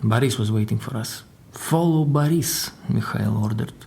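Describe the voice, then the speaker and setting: An actor playing a crime boss's guarded secretary in an audio drama, telling his story in a dry, deliberate way. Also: mid-range voice, gravelly, mellow, close to an everyday voice. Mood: grave, measured. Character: formidable Russian crime boss's secretary, guarded male survivor. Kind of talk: audio drama dialogue and narration.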